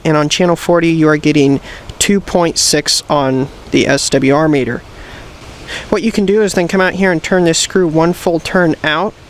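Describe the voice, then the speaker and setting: A man giving a hands-on tutorial, explaining things step by step in a plain, methodical way. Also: fairly high pitch. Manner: plain, methodical instructional delivery. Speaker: presenter of a how-to video. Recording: clear background noise, vehicle ambience.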